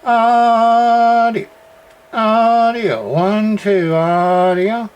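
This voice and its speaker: A man's voice: high voice, fairly even intonation, extremely slow, a little hiss or hum. A man testing and repairing an old radio, muttering test calls and meter readings in casual workbench commentary.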